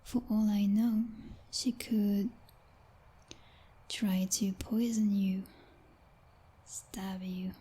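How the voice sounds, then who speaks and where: A woman's voice: medium pitch, steady tone, extremely slow, long pauses, dark tone, slightly rough, exaggerated voice. A woman playing a jealous, possessive vampire girlfriend in an ASMR roleplay, whispering breathily in a teasing, commanding and condescending way. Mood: jealous, scornful.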